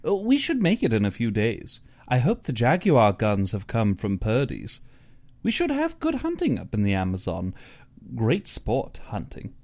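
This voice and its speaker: A man voicing an uneasy, superstitious big-game hunter in an audiobook, earnest and brooding in his expressive delivery. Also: mid-range voice, a little slow. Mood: genial. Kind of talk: audiobook character dialogue.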